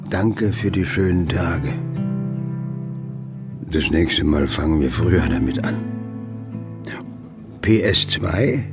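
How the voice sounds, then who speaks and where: An actor playing an older father, tender and wry, reading out his farewell letter in a radio drama. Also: deep voice. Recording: music behind the voice. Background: guitar music.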